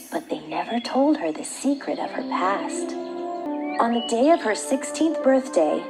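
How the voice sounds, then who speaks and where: Lively, higher-voiced woman storyteller over music. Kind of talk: scripted fairy tale narration for children. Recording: background music.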